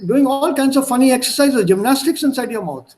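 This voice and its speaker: A man's voice: extremely high pitch, lively intonation, a little fast, a little mellow. A man with an Indian accent teaching an online language lesson in a didactic way, often repeating and demonstrating points.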